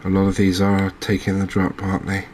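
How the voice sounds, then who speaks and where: A man giving relaxed ASMR commentary over a fishing video game, chatting to viewers and often checking in with tag questions. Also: sits low, fairly quick, a little hiss or hum. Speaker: gaming video host.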